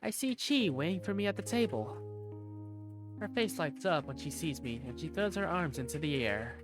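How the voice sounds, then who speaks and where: A woman's voice: deep voice, animated, moderate pace, over music. A woman voicing an easygoing young male bar waiter who narrates his day in a visual novel fan dub. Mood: easygoing, wry.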